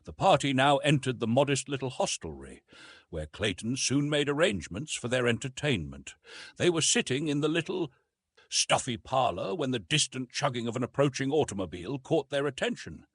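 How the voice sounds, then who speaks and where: Guy narrating an old adventure book, dramatic, really animated, kinda husky, super dry sound. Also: medium pitch, normal speed, no room sound. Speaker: audiobook narrator.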